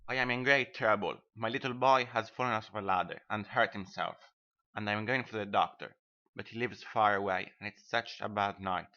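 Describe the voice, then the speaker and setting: A man's voice: fairly low pitch, moderate pace, pushed and bright. A man playing a selfish, sanctimonious miller in a storybook reading, lecturing in a self-righteous manner. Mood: pleading, manipulative. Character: selfish, sanctimonious male miller, smugly preaching friendship. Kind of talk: scripted storybook dialogue. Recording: dull, band-limited audio.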